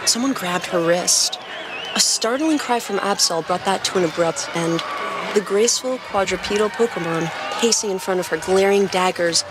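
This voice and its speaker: A woman's voice: mid-range voice, background noise. A woman hosting a podcast and reading stories as scripted narration. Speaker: podcast host and story reader.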